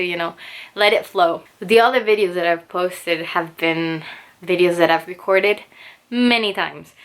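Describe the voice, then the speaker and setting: A young adult woman whose first language is Spanish, chatting casually about books on her own channel, relaxed, with frequent restarts. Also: fairly low pitch. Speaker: booktuber. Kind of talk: casual chat to camera. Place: home, indoors.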